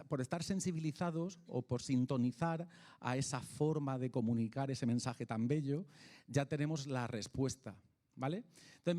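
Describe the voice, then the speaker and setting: A man with a Peninsular Spanish accent speaks to an audience as a lecturer. His voice sits at a medium pitch. He talks conversationally and haltingly, feeling his way through phrases and often adding little questions to check in. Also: darkish tone. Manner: conversational, halting, frequent rhetorical tag questions. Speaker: speaker, philosopher of science and author. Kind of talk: informal public lecture.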